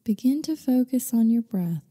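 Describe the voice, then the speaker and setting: A woman guiding a meditation in slow scripted narration, with a soothing, unhurried, gently instructing manner. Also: a little high, animated. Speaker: meditation guide. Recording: long reverberant hall-like echo.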